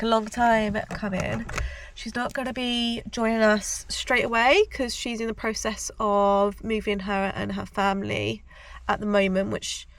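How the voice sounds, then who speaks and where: A woman's voice: mid-range voice, no room sound. Woman, bunged-up cold voice, rambling casual vlog, very dry close sound, car. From United Kingdom. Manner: chatty and rambling, with many ums and self-corrections. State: congested with a cold. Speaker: self-employed nail tech vlogger. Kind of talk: casual vlog chat to camera. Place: inside a parked car. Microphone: handheld camera.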